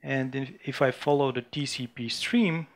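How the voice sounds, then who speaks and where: A man from Bulgaria, giving a technical conference talk with a live demo and talking in a halting way, with many restarts and fillers. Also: mid-range voice, steady tone. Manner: halting, frequent restarts and fillers while demoing. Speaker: conference speaker. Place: conference room before an audience.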